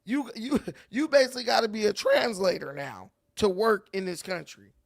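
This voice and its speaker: A man's voice: sits high, moderate pace, creaky, no echo, clean studio sound. A man hosting casual reaction commentary, ranting and repeating words as he builds his point. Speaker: commentary host.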